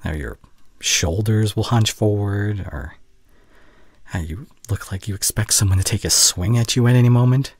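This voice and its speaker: A gentle, earnest young boyfriend, acted by a man in an intimate audio roleplay. His low voice is breathy and tender, moving freely up and down. He speaks in halting phrases, close to the mic. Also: no echo. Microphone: close studio condenser microphone.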